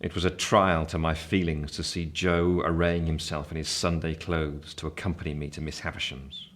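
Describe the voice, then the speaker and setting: An actor playing a grown man recalling his boyhood, narrating a literary radio play in a measured, wry way. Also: very deep voice, little pitch movement, a bit bright. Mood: reflective. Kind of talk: scripted first-person storybook narration.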